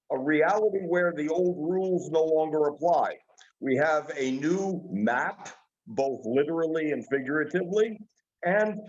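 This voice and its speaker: A man speaking as a political analyst on an online panel, in measured, formal and deliberate phrases. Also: fairly high pitch. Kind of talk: prepared analytical remarks. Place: online video webinar. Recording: dull, lo-fi webinar audio.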